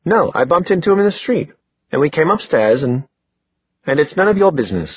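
A man voicing a defiant, defensive young woman in a story, with a sharp manner. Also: a little high, sing-song, very fast, slightly rough, no room sound, pitched above the performer's own voice, heavily acted. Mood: defiant, snappish. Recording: muffled, narrow phone-like audio.

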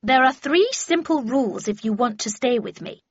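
A woman voicing a stern, sharp-tongued, commanding older woman in an audiobook, brusque and brooking no argument. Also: very animated, moderate pace, breathy edge, no echo, dull audio, heavily acted. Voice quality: low growl at times. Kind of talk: audiobook character voice.

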